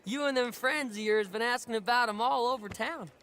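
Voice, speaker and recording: A man's voice: extremely high pitch, fairly quick, pushed and bright, a little hiss or hum, exaggerated voice. A male performer playing a cocky, taunting street urchin boy in a video game, drawling with mock politeness. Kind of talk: cinematic video game acting.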